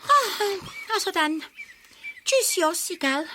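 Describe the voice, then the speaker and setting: A woman voicing a small, excitable, tender-hearted female church mouse in a children's radio play, babbling and repeating words in a flustered way. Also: very high voice, slow, a little hiss or hum, heavily acted. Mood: sad, flustered.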